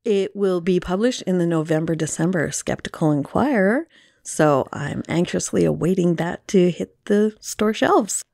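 A woman's voice: on the low side, lively intonation, normal speed, vocal fry, darkish tone, dry recording, studio quality. A woman speaking as a podcast guest, giving conversational interview answers.

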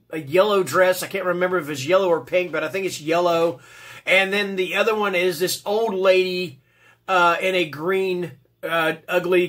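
A man's voice: sits high, sharp tone. A man in his fifties with a stuffy, congested voice, hosting a rambling casual book review from a small room at home, full of fillers and restarts. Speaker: book reviewer, channel host. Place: small dim home room, seated indoors.

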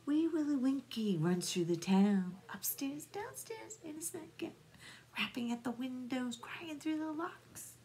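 A middle-aged woman reading bedtime nursery rhymes aloud to young children in a soft, singsong, drawn-out way. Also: high-pitched, animated. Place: small room at home, indoors. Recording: noticeable background room noise.

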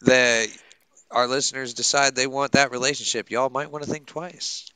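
Bright, forceful young man. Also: medium pitch, fairly even intonation, normal speed, smooth, no room sound. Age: young adult. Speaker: podcast host. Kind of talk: casual chat.

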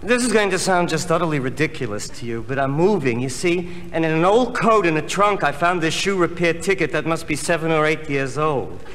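A man's voice: fairly high pitch, animated, brisk, few pauses, a bit bright. Sheepish man acting a customer, reverberant recording. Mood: sheepish, earnest. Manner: apologetic, earnest. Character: polite, sheepish, pleading male shoe shop customer. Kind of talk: comedy album sketch. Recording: reverberant, faintly noisy old comedy album.

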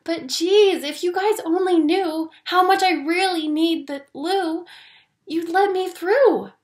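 A woman's voice: extremely high pitch, slow, a little mellow, pitched above the performer's own voice. A woman voicing a desperate, panicked little male creature from a children's picture book, pleading and exclaiming dramatically. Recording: echoey room.

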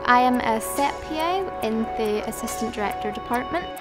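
A woman's voice: fairly high pitch, expressive, moderate pace. A woman from Northern Ireland working as a set PA, speaking plainly in a short interview. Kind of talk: documentary interview. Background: music bed.

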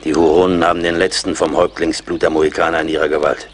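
A seasoned frontier scout with a very deep voice. He sounds loyal, resolute and wry, in a radio drama with faint ambience behind him. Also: little pitch movement, fast talker, slight background noise. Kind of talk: German radio play acting.

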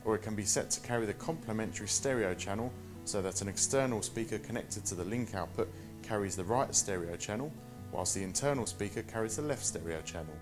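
A man in his thirties presenting a scripted product walk-through in a measured, instructional manner. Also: fairly low pitch, music in the background.

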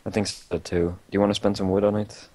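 Low-voiced laid-back young gamer. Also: male voice, little pitch movement, fast, mellow, a little hiss or hum. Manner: short, laid-back replies, teasing. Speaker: co-op gaming partner. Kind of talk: casual gameplay chat.

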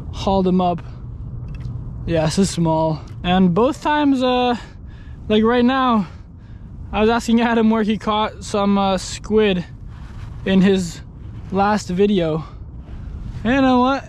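A young man fishing from a kayak on open water, chatting casually to an action camera and breaking into excited exclamations. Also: high voice, slight background noise. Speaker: kayak angler. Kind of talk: casual fishing vlog commentary. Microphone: action camera mounted on the kayak.

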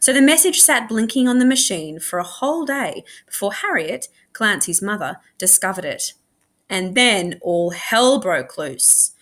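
A woman reading a children's novel aloud in an animated, expressive storytelling manner, like a school librarian. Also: medium pitch, a little slow. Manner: animated, acts out dialogue.

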